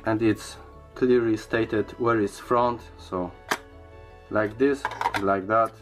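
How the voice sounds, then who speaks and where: A man giving a casual unboxing and product review in a halting, hesitant way, often repeating words. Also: lowish voice, fairly even intonation, soft background music.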